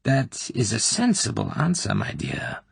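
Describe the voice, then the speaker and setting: A cruel, falsely motherly Soviet colonel, put on by a man reading an audiobook, coaxing in an oily, smooth way. The voice is deep and gravelly with a husky, breathy edge, sometimes sinking to a whisper. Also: wildly swooping pitch, measured pace, no room sound, exaggerated voice. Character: cruel middle-aged female Soviet colonel interrogator.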